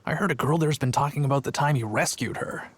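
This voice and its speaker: A man's voice: medium pitch, fast, slight background noise, natural voice. An actor playing a chatty, earnest young male town guard telling his tale in a video game. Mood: placating. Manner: storytelling, earnest.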